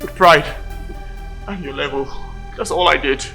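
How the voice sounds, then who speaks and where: A man's voice: fairly high pitch, a little slow, vocal fry, pushed and bright, faint music behind, full character voice. Man playing a defensive palace driver. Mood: explaining.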